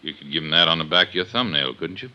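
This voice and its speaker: Low, hard-edged police inspector. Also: male voice, expressive, fast, bright, forceful tone, heavily acted. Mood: skeptical. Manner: blunt, sardonic. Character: gruff, skeptical male police inspector. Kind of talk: 1950s radio drama acting. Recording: muffled 1950s radio recording.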